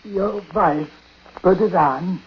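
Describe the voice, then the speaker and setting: Slow, halting, bitter old uncle. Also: male voice, high-pitched. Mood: grave warning. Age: older. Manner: halting, grave, broken phrases. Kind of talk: 1940s radio acting. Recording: noisy, muffled 1940s radio recording.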